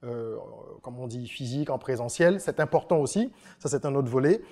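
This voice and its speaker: A podcast host in casual chat, asking questions and affirming the guest in a measured way. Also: mid-range voice, lively intonation, warm tone.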